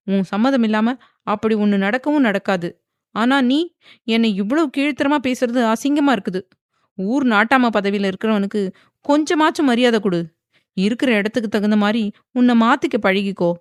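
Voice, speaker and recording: A woman's voice: on the high side, no room sound, studio quality, pitched above the performer's own voice, natural voice. A woman voicing a stern young husband who is a village headman, speaking in a firm, lecturing way.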